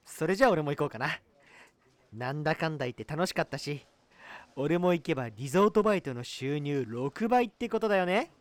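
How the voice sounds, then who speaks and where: Comedy radio drama role: excitable, smitten young man acted by a man, very animated intonation, dry recording. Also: fairly high pitch, fairly quick, dry recording, close to an everyday voice. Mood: playful. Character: boastful, lovestruck, excitable young male buddy.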